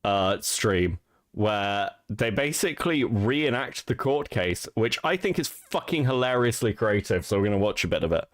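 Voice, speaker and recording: A man's voice: fairly low pitch, expressive, normal speed, no echo. A man hosting a stream, reacting to clips with disbelief, swearing and laughing often. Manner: incredulous and profane, laughs often. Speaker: host.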